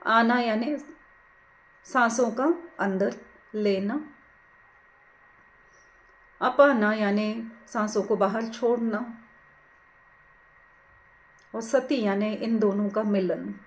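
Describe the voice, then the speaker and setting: A woman leading a guided meditation, giving soothing, gentle, unhurried instructions. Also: mid-range voice, fairly even intonation, rough recording. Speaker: meditation teacher.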